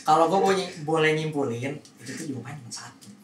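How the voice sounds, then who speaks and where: A man's voice: on the high side, quick pace. A man speaking as a blunt, opinionated host in a casual podcast discussion. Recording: roomy echo, distant mic, faint noise.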